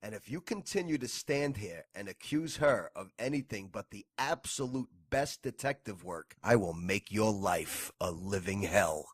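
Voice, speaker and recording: Husky, blunt male detective played by a man. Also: fairly low pitch, expressive, normal speed, hardly any pauses, dry recording, studio quality. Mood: stern, menacing. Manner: blunt, confrontational. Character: stern, protective male detective confronting a lawyer. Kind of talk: scripted audio soap drama acting.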